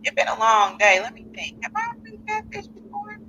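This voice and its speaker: A woman hosting a live call-in podcast, chatty and animated, with speech and reading out listener comments. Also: extremely high pitch, moderate pace, muffled recording, slight background noise. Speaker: podcast host.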